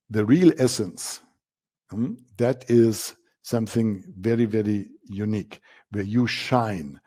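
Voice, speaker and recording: Male coaching instructor, German accent, mellow warm tone, emphatic delivery with repeated key phrases. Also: fairly low pitch. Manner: emphatic, repeats key words, frequent fillers. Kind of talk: masterclass presentation.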